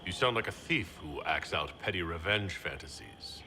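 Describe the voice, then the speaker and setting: A man playing a composed, sceptical heroic leader in a video game, with a measured, questioning, authoritative manner. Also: low voice, lively intonation, in a large hall, noisy background. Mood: wry, decisive. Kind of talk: video game character acting.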